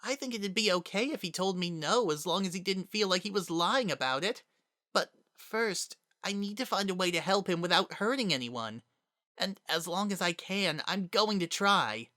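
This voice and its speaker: A woman playing an earnest, anxious, kind young boy who speaks plainly and haltingly in a dramatic reading. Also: fairly low pitch, animated, pushed and bright, dry recording, studio quality. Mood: hopeful, earnest. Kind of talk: dramatic reading of fan fiction.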